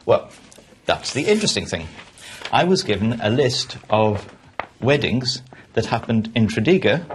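A man's voice: on the low side, big pitch swings, measured pace, a little hiss or hum. A man in his thirties from Wales presenting a documentary in a conversational, reflective way. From Port Talbot, Wales. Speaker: presenter and stand-up comedian.

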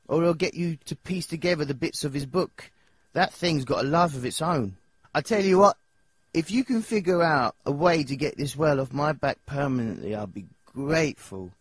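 A nervous, childlike young English singer from a cartoon game. His voice is husky and swoops up and down as he rambles in slang, hesitant and a little dim. The recording is close and dry. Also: medium pitch, dry recording. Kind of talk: video game character dialogue.

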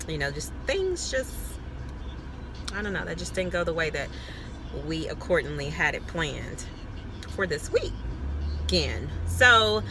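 Southern woman vlogging over noisy background. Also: low-pitched. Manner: playful, colloquial, chatty. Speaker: family vlogger. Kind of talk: casual vlog chat to camera. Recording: noisy car or busy restaurant, background chatter.

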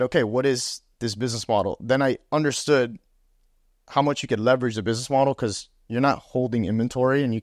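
A man's voice: mid-range voice, dry recording. Casting: young man from Miami, casual storyteller, dry close lapel sound. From Miami, USA. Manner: animated, gestures, uses fillers like "um" and "right?". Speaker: podcast guest, sales executive. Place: small room with armchairs, indoors.

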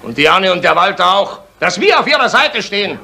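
Tavern rebel: highish, sharp, outspoken, defiant; 1970s radio drama. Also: male voice, sing-song, a little fast, gravelly, exaggerated voice. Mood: provocative, loud. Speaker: actor in a crime radio play.

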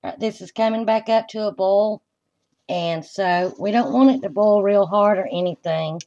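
A woman's voice: medium pitch, warm tone. Woman doing a folksy cooking demo in her kitchen, kinda lo-fi sound w some faint noise behind. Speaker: home cooking show host. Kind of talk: step-by-step cooking demonstration. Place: indoor home kitchen at the stove. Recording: dull lo-fi camera audio, kitchen noise.

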